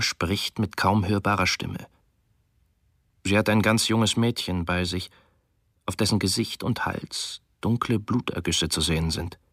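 A man reads a documentary voice-over in a steady, earnest way. His voice sits on the low side. The studio sound is dry and close. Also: vocal fry, husky, dry recording. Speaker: voice-over reader. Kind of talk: German radio documentary reading.

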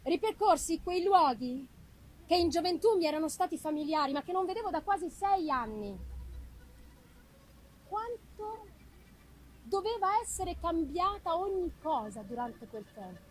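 A woman giving a dramatic, deliberate and expressive literary reading of a gothic novel. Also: very high voice, slight background noise, low-quality recording. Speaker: reader of a literary audiobook.

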